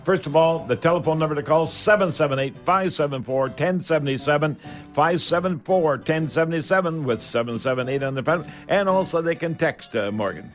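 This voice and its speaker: A man's voice: fairly high pitch, a little fast, soft background music. Older canadian guy doing radio host chat, folksy and rambly, says eh a lot. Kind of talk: casual talk radio hosting.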